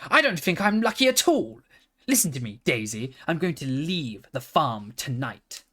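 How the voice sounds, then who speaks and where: A man's voice: on the high side, very animated, normal speed, pushed and bright, exaggerated voice. A man voicing a proud, headstrong young male pony in a storybook reading, lofty and eager. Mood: scornful.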